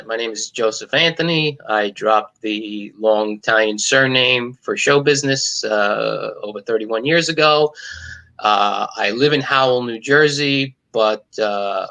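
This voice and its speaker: Wisecracking middle-aged New York comedian. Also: male voice, mid-range voice, poor audio. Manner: wisecracking, cynical, deadpan jokes. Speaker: stand-up comedian, guest. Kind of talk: casual video-call interview.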